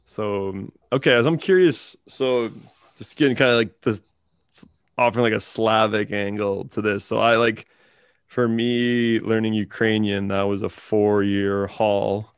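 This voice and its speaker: A man with a low, gravelly voice hosts a relaxed conversation. He asks his questions loosely and fills them with "like" and "so". The recording is dry and close. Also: a little slow, no echo. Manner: loose, filler-heavy questions. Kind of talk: casual chat.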